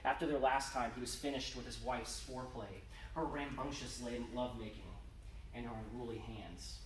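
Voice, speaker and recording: A man's voice: mid-range voice, moderate pace. A man giving a theatrical, emphatic live reading of his own horror story as its author. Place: indoor convention event room. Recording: roomy, noisy live room, distant mic.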